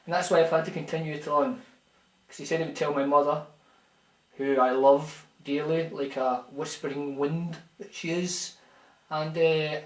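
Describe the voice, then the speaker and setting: A man puts on a deadpan caller claiming to be a famous fighter, speaking with a Scottish accent. His voice sits a little high and he keeps a straight, mock-serious tone through absurd boasts. The sound carries an echoey room. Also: close to an everyday voice. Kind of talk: comic phone-in bit.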